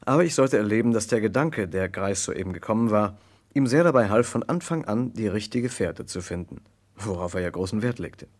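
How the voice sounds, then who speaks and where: A man playing the observant narrator of a German radio crime play, telling the story in a measured, literary way. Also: lowish voice, animated. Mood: measured storytelling. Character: observant male first-person narrator of a detective story.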